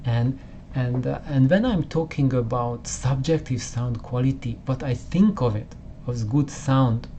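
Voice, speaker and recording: Male hobby host; unhurried, warm-toned, stop-start delivery, talking casually to camera from his own room. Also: mid-range voice, a little hiss or hum. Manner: halting, with many repeated words and restarts. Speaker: audio channel host. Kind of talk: casual explanatory talk to camera. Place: home listening room with poor acoustics.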